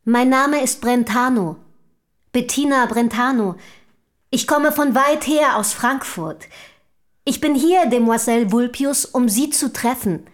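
Actress playing eager self-assured young rich lady in a radio play, highish voice, formal and articulate. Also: unhurried, lightly acted. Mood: polite, insistent.

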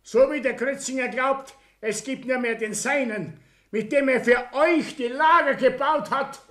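A man's voice: extremely high pitch, measured pace, a bit bright. A man acting a proud, aggrieved Bavarian business owner in a 1960s German radio play, self-righteous and blustering. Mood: indignant, self-righteous.

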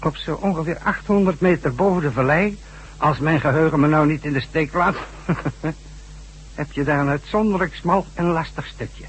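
A ruthless chief of a secret organisation in a radio drama. His voice sits fairly high and sounds husky, and he gives orders with cool, commanding mockery. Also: normal speed, rough recording. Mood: coldly mocking. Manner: commanding, coolly mocking. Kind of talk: Dutch radio drama acting.

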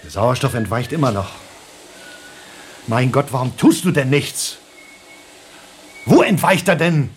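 An exasperated male crewman in a radio drama, mid-pitched and highly animated. He sounds anxious and urgent, moving between agitated demands and muttering. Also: a little slow, long pauses, a little hiss or hum. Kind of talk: German radio play acting.

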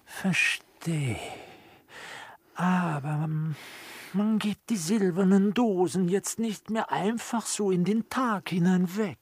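An actor playing a wheedling, easily offended village bailiff in a classical German comedy radio play, halting and oily in delivery. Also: medium pitch, husky, no echo. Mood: sly, boastful.

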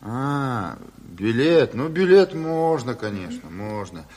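A crafty revolutionary official in an old radio play, feigning courtesy with casual, teasing ease. His rough voice is lowish yet very animated, in a long reverberant studio. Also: slow. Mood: sly, teasing. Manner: mock-polite, teasing, casual. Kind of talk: theatrical Soviet radio drama.

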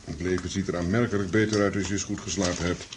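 An actor in a Dutch radio play playing a busy, matter-of-fact but obliging family doctor. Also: male voice, deep voice, fairly even intonation, fast talker, lightly acted. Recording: noisy old radio drama recording.

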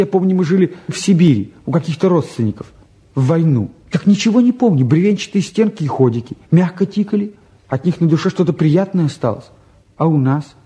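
A man acting a restless teenage lad in a radio play, with a fairly high, mellow voice. He grumbles in slang, sardonic and flippant about everything around him. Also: close to an everyday voice. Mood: bored, grumbling. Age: young adult. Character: bored, restless, sardonic eighteen-year-old younger son. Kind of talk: naturalistic stage and radio drama acting.

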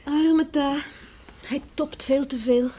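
An actress in a radio play playing a hopeful young farmer's daughter, eager and earnest in manner. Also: female voice, high-pitched, steady tone, takes it slowly, mellow. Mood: concerned. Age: young adult. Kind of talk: Dutch radio play acting. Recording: noisy old radio play recording.

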